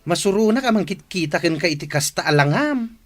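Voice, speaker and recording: A woman's voice: on the low side, expressive, brisk, faint music behind. A woman playing a blunt, exasperated and protective best friend in a radio drama. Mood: exasperated, scolding.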